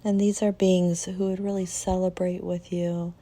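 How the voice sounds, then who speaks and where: A woman leading a guided meditation in a soft, soothing way, leaving long pauses between phrases. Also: on the low side, little pitch movement.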